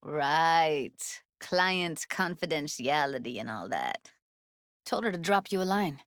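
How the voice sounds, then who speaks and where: Casting: young female techie for a game, lowish voice, blunt, guarded, streetwise, naturalistic and intimate, dry close recording. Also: animated, slow, fairly bright tone, dry recording, heavily acted. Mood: dry, casual. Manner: casual, clipped, slangy, intimate delivery. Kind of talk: naturalistic video game dialogue acting.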